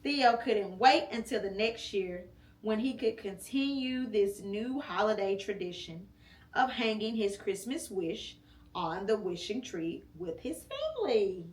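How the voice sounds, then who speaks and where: A woman reading a picture book aloud at home with her child, gentle and encouraging, stopping to prompt him. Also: mid-range voice, sing-song, measured pace, echoey room. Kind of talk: read-aloud storytime and casual chat.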